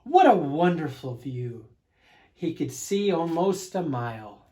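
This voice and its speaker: A man reading a children's storybook aloud as narrator, with expressive, dramatic emphasis. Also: a little high, slow.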